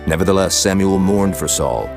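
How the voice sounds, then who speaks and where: A man narrates scripture solemnly in a deep voice with lively, wide intonation. His storytelling is measured and dramatic, set over faint music. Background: faint background music.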